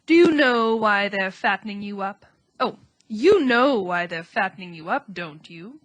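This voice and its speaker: A woman's voice: medium pitch, animated, a little slow, lightly acted, rough recording. A woman doing a blunt, gloomy old sheep. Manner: matter-of-fact, grim, snappish.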